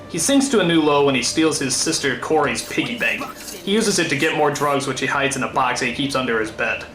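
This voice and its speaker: A man's voice: fairly high pitch, a bit bright. An American man hosting a scripted comedy review, theatrically incredulous and given to exasperated ranting. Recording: roomy echo, faint background noise.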